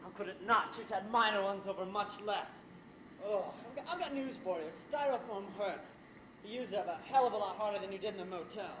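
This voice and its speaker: A man acts out a middle-aged playwright with murder in mind on a theater stage. He is dryly sardonic and politely hesitant, with theatrical delivery. The recording is echoey, with clear background noise. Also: high voice, brisk, lightly acted. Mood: wry. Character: scheming middle-aged male playwright, suave, wry and murderous. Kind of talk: theatrical stage thriller acting. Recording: echoey, very noisy amateur stage play recording.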